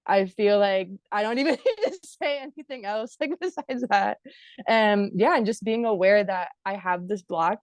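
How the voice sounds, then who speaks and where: A woman's voice: medium pitch, normal speed, no echo. A woman from Hawaii chatting casually on a video call, using lots of filler words and laughing now and then. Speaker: course participant.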